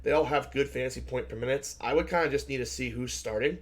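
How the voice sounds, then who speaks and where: Male host, voice scratchy and hoarse after a loud night, casual rambling analyst who thinks aloud, middle-pitched. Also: fast. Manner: conversational, filler-heavy, thinks aloud and self-corrects. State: hoarse, scratchy voice from shouting at a game. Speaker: fantasy basketball analyst host. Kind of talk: solo sports betting slate breakdown.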